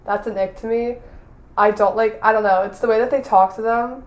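A woman's voice: a little high, steady tone, fairly quick, a little mellow. Blunt, joking young woman co-host. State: losing her voice. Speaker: podcast co-host. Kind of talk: casual chat. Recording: echoey room.

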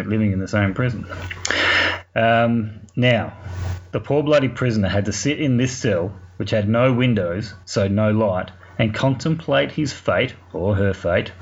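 A man with an Australian accent telling a story informally for a podcast, in a colloquial, sweary, wry way. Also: fairly low pitch. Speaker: podcast segment contributor. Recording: muffled lo-fi recording, clear background noise.

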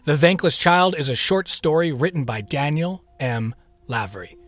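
A man's voice: on the high side, animated, normal speed, fairly bright tone. Playful male podcast host over music. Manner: playful, theatrical, with whispered echo-like repeats and asides. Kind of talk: chatty scripted podcast introduction.

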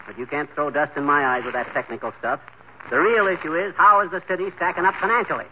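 A man's voice: on the high side, fairly even intonation, fast talker, lightly acted. A man playing a bumbling, wisecracking husband in a 1940s radio comedy, with folksy slang and a blustery manner. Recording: noisy muffled 1940s radio recording.

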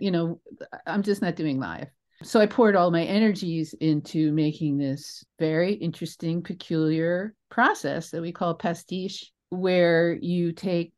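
Low-pitched woman in her sixties, rambling storyteller. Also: darkish tone. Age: 60s. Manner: rambling, digressive, emphatic storyteller. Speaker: theatre creator and guest. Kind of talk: conversational interview answers, anecdotal.

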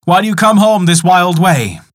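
A man voicing an aged father who shouts in alarm at his son, his pitch raised. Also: lively intonation, moderate pace.

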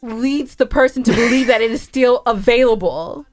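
A woman's voice: fairly high pitch, normal speed, no echo, studio quality. A woman co-hosting a podcast in casual, playfully argumentative banter, speaking with emphasis. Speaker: podcast co-host. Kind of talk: casual bantering chat with husband.

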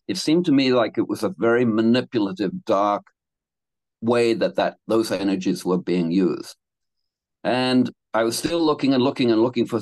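A man's voice: mid-range voice, a little mellow, no echo. A man in his seventies from England, telling his life story as a guest in a casual interview, rambling with restarts and laughing between thoughts. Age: 70s.

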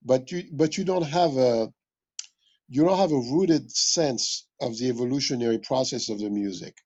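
A man from West Africa speaks in a mid-pitched, husky voice. He explains his points reflectively and deliberately, like a scholar in an interview, repeating phrases to stress them. The recording is a little dull and lo-fi. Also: a little mellow. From West Africa (Mali). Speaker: interviewed jazz musician and music history teacher.

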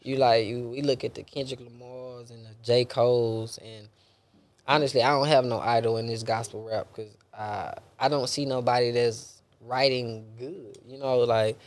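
A man's voice: medium pitch, fairly even intonation, normal speed. A young adult man, a gospel rapper talking loosely in a casual interview, rambling and dropping bro and man often.

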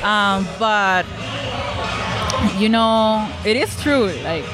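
A woman's voice: medium pitch, drawn-out words, music in the background, crowd noise. A young woman from Mexico, answering interview questions about her film club in a hesitant way with many fillers. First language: Spanish. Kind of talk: casual interview.

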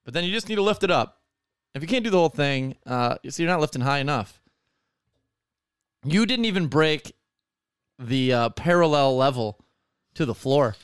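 A man's voice: on the high side, lively intonation, moderate pace, pauses often, smooth, dry recording. Hungover, joking young man, dry sound. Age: 20s. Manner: joking, crude, rambling. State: hungover, slightly hoarse. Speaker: podcast host. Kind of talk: casual crude chat.

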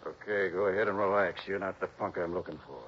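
A man's voice: low-pitched, fairly even intonation, fast talker, close to an everyday voice. Guy playing a mean threatening cop, tough talk, snappy, old muffled radio show w bit of hiss. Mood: suspicious, bullying. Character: menacing, hot-tempered crooked male police sergeant with gun. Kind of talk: mid-century radio drama acting. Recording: dull, hissy old radio recording.

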